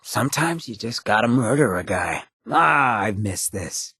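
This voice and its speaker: An actor voicing a cocky, roguish, streetwise ghoul companion in a video game, with a very raspy, gravelly voice and a sly, laid-back swagger. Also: male voice, lowish voice, lively intonation, unhurried, pushed and bright, no room sound, clean studio sound, exaggerated voice. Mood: gleeful swagger.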